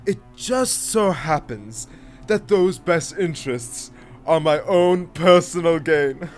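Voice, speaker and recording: Slow, smug, pompous villain baron acted by a man. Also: fairly high pitch, faint music behind. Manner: grandiose, theatrical, slow speeches. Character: smug, pompous tyrant baron, a horned blue-skinned fantasy villain. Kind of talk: scripted audio drama acting.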